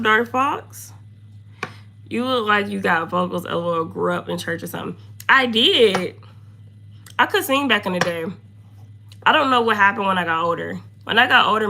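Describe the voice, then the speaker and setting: A young woman with a hoarse, gravelly voice talks loosely and chattily to her live viewers, drifting from topic to topic. Her tone is bright and a little sharp, sitting at an ordinary pitch. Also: a little hiss or hum. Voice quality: low, slightly deep voice. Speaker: makeup streamer. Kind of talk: casual livestream chat reading comments.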